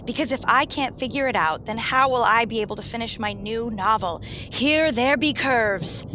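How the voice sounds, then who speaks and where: A woman's voice: on the high side, normal speed, sharp tone, a little hiss or hum, poor audio. A woman playing a dramatic, exasperated romance novelist in her thirties in a radio play, emphatic and self-deprecating. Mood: pleading, indignant.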